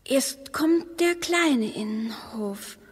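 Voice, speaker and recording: Breathy, bitter wife in reverb. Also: female voice, high-pitched, animated, drawn-out words, exaggerated voice. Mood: accusing. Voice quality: breathy, often whispering. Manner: reproachful, tense, often hushed. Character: bitter, distrustful wife exploring an underground passage. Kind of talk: radio drama acting. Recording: long cave-like reverb.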